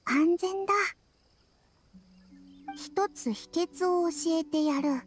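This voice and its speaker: A woman's voice: very high-pitched, soft background music, exaggerated voice. A woman voicing a sleepy, secretive little ninja girl in an anime game, drawling drowsily. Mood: drowsy, secretive. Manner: drowsy, drawn-out.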